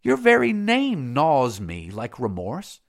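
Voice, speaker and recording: A man's voice: medium pitch, wildly swooping pitch, takes it slowly, vocal fry, pitched above the performer's own voice, full character voice. A man voicing a proud young priestess in an audiobook, pleading and accusing by turns. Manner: pleading, then accusing and bitter. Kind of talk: audiobook character voice.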